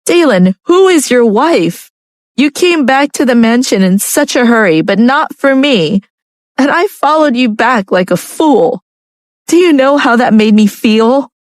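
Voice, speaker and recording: A woman's voice: animated, a little slow, a little mellow, dry recording, studio quality. Woman voicing a tearful pleading young wife. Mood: distraught. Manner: high pleading voice.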